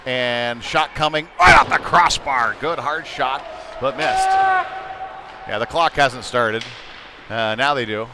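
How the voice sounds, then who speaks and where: A man with a medium-pitched voice does live hockey play-by-play from a noisy indoor rink. He talks conversationally, following the puck, and is quick to lift into excited calls when the action heats up. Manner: conversational, breaks into excited calls during scoring chances. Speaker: play-by-play sports commentator. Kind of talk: live youth hockey commentary. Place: indoor ice rink during a game. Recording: clearly noisy sports rink behind voice.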